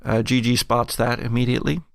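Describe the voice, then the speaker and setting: A man narrating a tabletop role-playing game as game master, describing scenes in a measured, halting, improvised way. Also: mid-range voice, flat delivery, vocal fry, no echo, clean studio sound.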